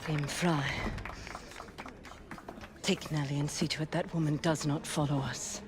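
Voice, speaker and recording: An actress playing a menacing, sneering brothel madam with false sweetness in a video game. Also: female voice, deep voice, steady tone, a little slow, background noise. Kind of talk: video game cutscene acting.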